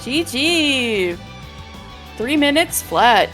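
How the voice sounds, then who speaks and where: A woman's voice: high voice, animated, very slow, a bit bright. A woman streaming a video game, chatting casually and reading story dialogue aloud, with game music behind her.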